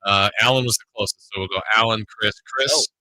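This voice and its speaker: A man hosting sports talk radio, running a quiz game with lively banter. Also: fairly low pitch, a little fast, no room sound. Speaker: radio show host.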